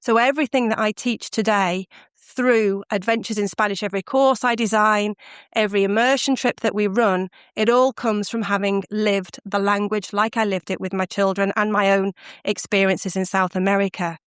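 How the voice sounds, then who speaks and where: A woman's voice: mid-range voice, steady tone, normal speed, clear, resonant tone, no echo, clean studio sound. A middle-aged woman from the UK, a guest on a podcast, telling her stories animatedly and laughing often. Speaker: guest, language course founder. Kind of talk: podcast interview answers.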